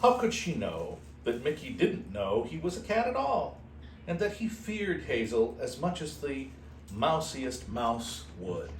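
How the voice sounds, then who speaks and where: A man reading a children's storybook aloud expressively, in a playful manner with casual asides. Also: medium pitch. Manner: unhurried, animated, playful. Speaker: host reading a picture book aloud to shelter kittens. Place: indoor room at an animal shelter. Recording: roomy, distant mic with faint noise.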